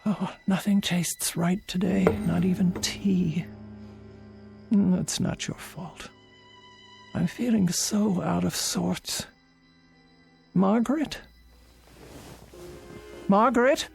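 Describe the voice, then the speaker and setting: Fretful elderly woman, creaky voice. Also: on the low side, takes it slowly, pauses often, darkish tone, faint music behind, exaggerated voice. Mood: weary. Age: older. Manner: fretful, insistent, formal. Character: anxious, querulous, frightened elderly invalid woman. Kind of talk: radio drama acting.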